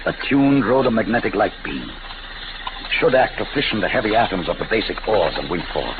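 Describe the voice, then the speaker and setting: An actor playing a weary, intense older inventor in a 1950s radio drama, terse and grave. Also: male voice, very animated, normal speed. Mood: intense, determined. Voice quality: deep, gravelly. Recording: very noisy, muffled old radio recording.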